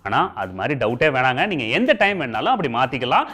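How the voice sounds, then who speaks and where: A man's voice: mid-range voice, very animated, fast, bright, forceful tone. A man with a Tamil Nadu accent hosting an informational TV show, explaining things conversationally and speaking directly to viewers. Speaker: TV show host. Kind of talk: informational presenting in colloquial Tamil with comic asides.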